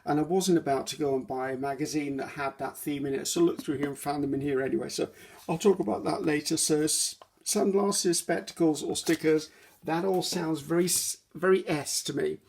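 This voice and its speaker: A woman's voice: sits low, a little fast, a little mellow, a little hiss or hum. A woman hosting a casual craft video at her table at home, rambling and correcting herself with frequent ums. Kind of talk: casual chatty craft walkthrough.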